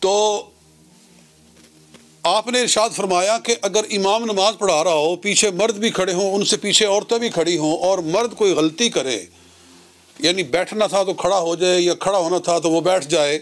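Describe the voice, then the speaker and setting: High-pitched male cleric, deliberate and didactic. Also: a bit bright. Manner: deliberate, in short measured phrases, didactic. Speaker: Islamic cleric. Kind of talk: religious lecture citing scripture.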